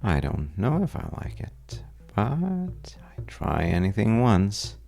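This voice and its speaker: A man playing a wry, roguish, well-dressed stranger at a bar in an intimate audio roleplay, teasing and telling stories with dramatic pauses in a gravelly voice. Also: lowish voice, wildly swooping pitch, a little mellow, faint music behind, exaggerated voice. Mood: playful, inviting. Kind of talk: intimate audio roleplay monologue addressed to the listener.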